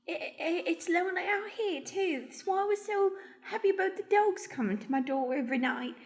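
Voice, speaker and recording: A woman plays a very high-voiced mouse lady who doubles as an undercover agent. She sounds arch, coy and brazen by turns. It is theatrical comic radio play acting. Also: expressive, moderate pace. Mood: coy, lonely. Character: coy female mouse turned brazen future police agent.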